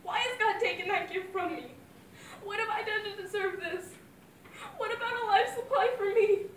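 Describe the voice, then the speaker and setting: A woman playing a grieving young mother, high voice, roomy and distant. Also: expressive, normal speed, a bit bright, slight background noise. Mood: anguished. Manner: heartfelt, wavering between fond memories and anguish. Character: grieving young mother speaking to her dead father. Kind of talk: dramatic monologue.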